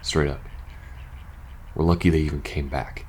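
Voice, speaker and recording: A man playing a weary, uneasy soldier confiding in his best friend in a post-apocalyptic ASMR audio roleplay, speaking haltingly and casually. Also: a bass voice, fairly even intonation, darkish tone, slight background noise. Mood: puzzled, grumbling. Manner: hesitant, halting, casual swearing, sighing.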